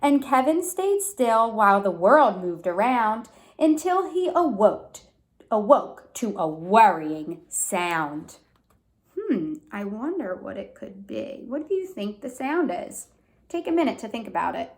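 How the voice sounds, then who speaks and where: A woman reading a storybook expressively to young children, pausing to explain simply and ask questions. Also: mid-range voice, very animated. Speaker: read-aloud teacher for young children.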